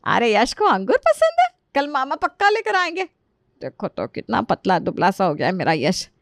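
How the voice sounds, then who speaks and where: A woman's voice: a little high, huge pitch swings, moderate pace, no room sound, clean studio sound. A woman playing an older, doting mother-in-law in a family story, fussing and emphatic. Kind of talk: acted family storytelling dialogue.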